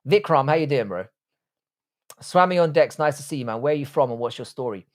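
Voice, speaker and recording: A relaxed man hosts a casual livestream and chats with his viewers. His delivery is loose and unhurried, with plenty of offhand swearing and banter. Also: mid-range voice. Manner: laid-back, swears freely, banters with chat. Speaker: livestream host reading chat.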